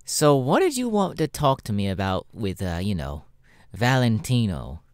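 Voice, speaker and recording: A man's voice: on the low side, sing-song, moderate pace, no echo, studio quality. A man voicing a jaded, sassy, foul-mouthed male spider demon with a sardonic, weary drawl in an ASMR audio roleplay. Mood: annoyed, teasing. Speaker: voice actor, ASMR roleplay artist.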